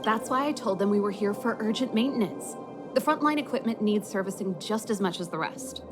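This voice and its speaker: A woman's voice: mid-range voice, fairly quick, over music, lightly acted. An actress playing a confident, easygoing young woman mechanic and rock musician in a video game, with a breezy, self-assured manner. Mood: confident explaining.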